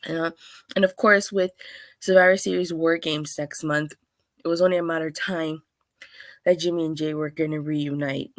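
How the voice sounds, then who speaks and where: A woman with a hoarse, clogged voice from being under the weather, hosting a chatty solo review with lots of restarts. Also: low-pitched, steady tone, moderate pace, dry recording. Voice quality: clogged, stuffy voice. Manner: chatty, rambling, with many restarts and fillers. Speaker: wrestling show reviewer, host. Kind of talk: casual solo review talk.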